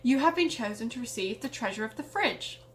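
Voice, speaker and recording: A woman voicing a giant cursed fridge creature, gentle, grinding and portentous, in a parody story. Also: fairly high pitch, expressive, a little fast, slight background noise, lightly acted. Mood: solemn.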